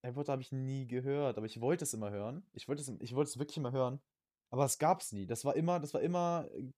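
A man's voice: medium pitch, fast talker, mellow, no room sound, clean studio sound. A young man chatting casually as a podcast co-host, rambling in slang and often repeating his words. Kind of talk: casual chat.

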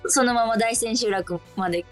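A woman's voice: a little high, moderate pace, soft background music. A woman co-hosting a casual radio show, speaking politely as she chats and reads letters. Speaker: stage actor. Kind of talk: casual radio chat, reading listener letters.

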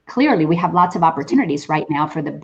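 Low-voiced woman from the Dominican Republic. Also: expressive, quick pace, vocal fry, highs cut off. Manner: hesitant, repeats words as she gathers thoughts. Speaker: host. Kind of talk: interview conversation.

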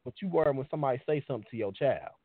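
A man's voice: mid-range voice, expressive, very fast, slightly rough, no echo. A man co-hosting a casual talk show, speaking frankly and critically. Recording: tinny phone-like line.

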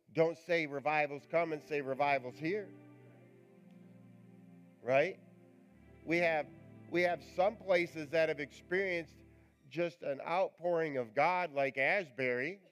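A male pastor with a raspy voice, preaching a live church sermon in a chatty, joking, rambling way. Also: a little high, highs cut off. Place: church sanctuary.

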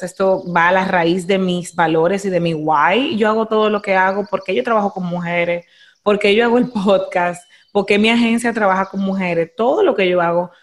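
A young Dominican woman with a Dominican Spanish accent, hosting a podcast in animated casual chat, slipping into English phrases. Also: mid-range voice. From Dominican Republic. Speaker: podcast host.

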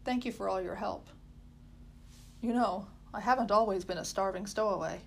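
A woman's voice: mid-range voice, moderate pace, creaky, a little hiss or hum, lightly acted. A woman voicing an earnest, polite and well-spoken boy.

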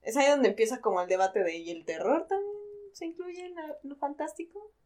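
A woman co-hosting a casual chat about literature, informal, expressive and often questioning. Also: high voice, brisk. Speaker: podcast co-host.

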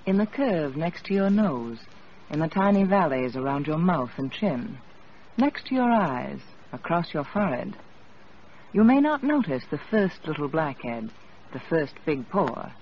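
A woman delivering a scripted, persuasive 1940s radio commercial. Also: lowish voice. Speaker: advertising spokeswoman. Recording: muffled, noisy old radio broadcast.

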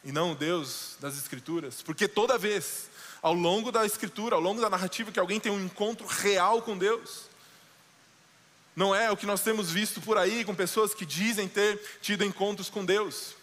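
Guy preaching in a church, high bright voice, long echo, goes slow n low on the big lines. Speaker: pastor. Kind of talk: sermon. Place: church sanctuary.

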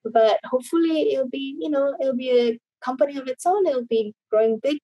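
Woman, from Indonesia, somewhat high voice, husky, rambling casual chat, video call. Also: fairly quick, no room sound, dull audio. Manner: rambling, many fillers and restarts. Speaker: guest.

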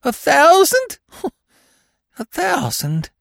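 A cheeky, sullen little crook out of a storybook, put on by a male narrator. His high voice jumps about as he whines and answers back in rough, slangy grammar. He is cunning and defiant, recorded very dry. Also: wildly swooping pitch, normal speed, dry recording, heavily acted. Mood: astonished. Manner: whining, indignant, defiant, colloquial grammar. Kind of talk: audiobook character voice.

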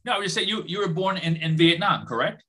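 A male host who asks questions eagerly, rambling and tripping over his words. His voice sits fairly high. It has a bright, sharp edge. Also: lively intonation, a little fast. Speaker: podcast host. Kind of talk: casual interview chat.

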